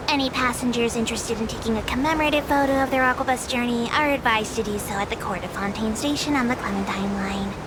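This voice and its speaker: A woman voicing a small, earnest female creature tour guide in a video game, making polite, formal, measured announcements. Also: a little high, heavy background noise, lightly acted. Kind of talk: video game character voice acting. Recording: loud music over the voice.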